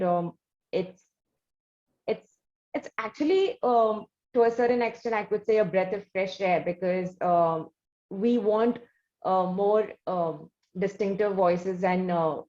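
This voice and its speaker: A woman's voice: mid-range voice, a little mellow. A woman with an Indian accent hosting an interview, speaking in wordy, emphatic, carefully articulated phrases. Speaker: interviewer, show host. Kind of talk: interview talk, measured praise and questions. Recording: dull, band-limited audio.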